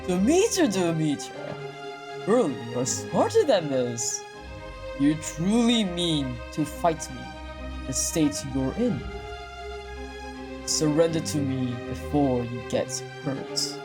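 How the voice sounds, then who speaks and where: Proud male sea god played by a woman, low and theatrical, formal challenger for a visual novel, music underneath. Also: sing-song, slow, full character voice. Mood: challenging, warning. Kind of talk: visual novel character read aloud.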